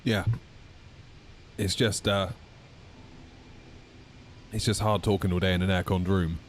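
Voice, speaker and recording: Croaky low-voiced man chatting. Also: little pitch movement, takes it slowly, a little hiss or hum. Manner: chatty, talks to chat readers. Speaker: streamer. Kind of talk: casual chat while flight simming.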